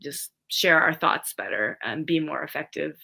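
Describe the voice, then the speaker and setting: Bright-voiced woman teacher chatting. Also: deep voice, lively intonation, moderate pace, vocal fry. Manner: thoughtful, restarts phrases, many fillers. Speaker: middle school teacher, podcast guest. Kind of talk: casual conversational interview.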